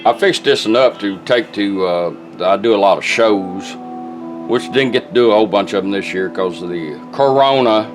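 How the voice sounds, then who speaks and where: A man's voice: fairly low pitch, moderate pace, music in the background. A man talking casually and plainly as he demonstrates a product step by step, in a folksy, instructional way. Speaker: car radio restorer demonstrating a product.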